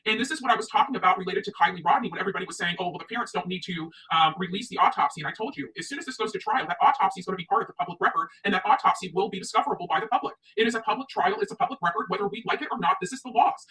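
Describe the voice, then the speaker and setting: A woman's voice: mid-range voice, rapid-fire, hardly any pauses, a bit bright, recorded from a distance, poor audio. A woman from the United States hosting opinionated livestream commentary, speaking emphatically and repeating her points for stress. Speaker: online commentary show host.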